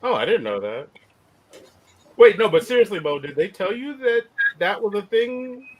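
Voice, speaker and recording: A man co-hosting a casual chat over a video call, animated and incredulous, often cutting himself off. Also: high voice, moderate pace, rough recording. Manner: animated, incredulous, self-interrupting. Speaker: podcast co-host.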